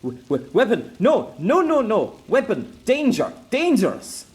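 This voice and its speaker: A man voicing a small, frightened male prisoner who shouts in broken, halting words. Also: high-pitched, wildly swooping pitch, voice pushed up, exaggerated voice. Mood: panicked.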